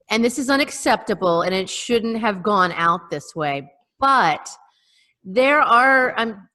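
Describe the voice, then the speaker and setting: Female co-host, bright and forward tone, informal conversation on a video call. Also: medium pitch. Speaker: podcast co-host. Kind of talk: casual chat.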